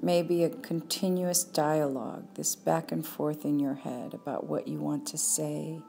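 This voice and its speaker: Low, mellow woman guiding a meditation. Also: gravelly, a little hiss or hum. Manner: calm, unhurried, with long pauses. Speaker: meditation teacher. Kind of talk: guided meditation.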